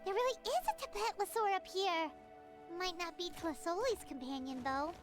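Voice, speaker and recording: A woman voicing a tiny, childlike, excitable fairy companion in a video game, with a squeaky voice. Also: moderate pace, faint music behind. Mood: curious. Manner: exclaiming, speaks of self in third person.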